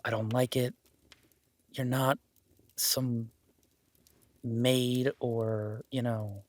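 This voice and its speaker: A man's voice: mid-range voice, no echo, natural voice. A man playing an awkward, self-deprecating young werewolf duke in a roleplay audio drama, stammering and apologetic, speaking informally to the listener. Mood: uneasy, modest.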